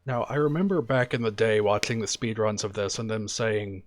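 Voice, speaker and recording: A man streaming a video game, talking casually and quietly about what he's doing in a relaxed, chatty way. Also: on the low side, normal speed. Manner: soft-spoken, relaxed and chatty. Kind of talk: casual gameplay commentary.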